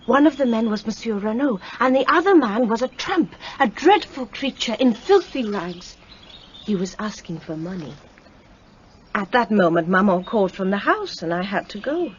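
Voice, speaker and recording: An actress playing an earnest young woman, urgent and hushed, in a radio play. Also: mid-range voice, very animated, normal speed, lightly acted. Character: earnest young woman anxious for her fiancé. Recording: dull sound with faint outdoor noise.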